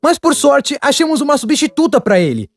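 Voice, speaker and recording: A man plays an excitable young Brazilian cartoon storyteller. His voice sits very high and swings around with lively, comedic delivery. Light music plays behind his wry, chatty narration. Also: a little fast, exaggerated voice. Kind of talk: animated storytime narration, dubbed.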